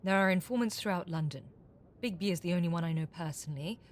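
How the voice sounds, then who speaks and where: An actress in an audio drama playing a shrewd, defiant captive con artist, sardonic and evasive. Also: female voice, on the low side, fast talker, a little hiss or hum, close to an everyday voice. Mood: guarded, grim.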